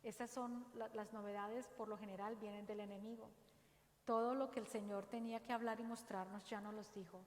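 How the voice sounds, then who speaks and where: A woman's voice: mid-range voice, steady tone. Earnest Dominican woman in a roomy church. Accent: Dominican Spanish. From Dominican Republic. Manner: earnest, exhorting, slow and deliberate. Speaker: parish speaker. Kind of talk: faith talk in a church setting. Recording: roomy church echo, faint ambience.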